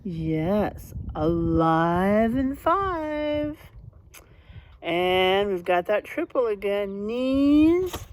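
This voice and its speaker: A woman's voice: mid-range voice, very animated, very slow, a little mellow, a little hiss or hum. A woman reading a children's story aloud as a teacher would, speaking directly and encouragingly to young listeners. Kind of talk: children's read-aloud with rhyme and vocabulary talk.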